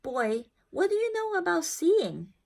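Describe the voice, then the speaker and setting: A woman voicing a spirited, wise old grandmother in a folksy, emphatic, gently scolding manner. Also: very high voice, very animated, a little slow, creaky, voice pushed up, full character voice.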